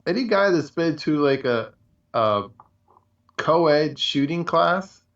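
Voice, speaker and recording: A man's voice: a little high, animated, slow, fairly bright tone, distant mic. A man co-hosting a casual talk show, agreeable and colloquial in his reactions, on slightly dull lo-fi audio.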